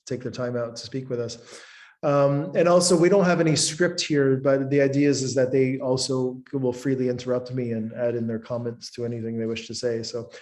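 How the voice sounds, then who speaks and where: Middle-aged man from Canada, professor type, halting delivery, video-call audio. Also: mid-range voice, darkish tone. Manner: hesitant, many ums and restarts. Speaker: professor and programme convener. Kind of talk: webinar presentation.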